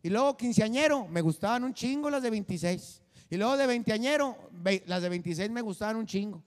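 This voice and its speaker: A man's voice: high voice, lively intonation, a little fast, in a large hall. A man, hosting a casual comedic monologue with crude jokes and lots of fillers, talking into a handheld mic at a desk. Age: 40s. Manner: rowdy, crude joking, many fillers. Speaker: comedian and show host. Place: small studio set with drum kit behind a desk.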